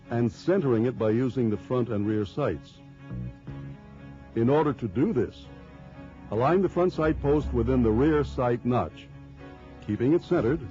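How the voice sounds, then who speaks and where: A man reading scripted instructional narration for a training film in a measured, formal, explanatory way. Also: medium pitch, dark tone. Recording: dull lo-fi early 1990s film soundtrack.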